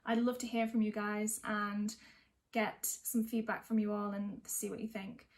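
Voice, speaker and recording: A woman's voice: mid-range voice, level tone, unhurried. A woman, a singer-songwriter chatting informally as she thanks online viewers and signs off. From North West of England. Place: at home.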